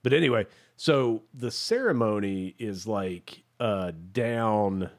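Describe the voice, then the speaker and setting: Low-voiced man chatting casually. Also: lively intonation. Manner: rambling, halting, searching for words. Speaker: podcast co-host. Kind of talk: casual chat.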